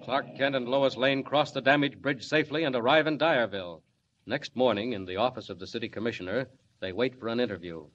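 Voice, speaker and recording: Guy doing old time radio narrator, medium pitch, dramatic and declamatory, dull recording. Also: a little fast, fairly bright tone, a little hiss or hum, natural voice. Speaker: announcer and narrator. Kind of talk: 1940s radio serial narration, scripted.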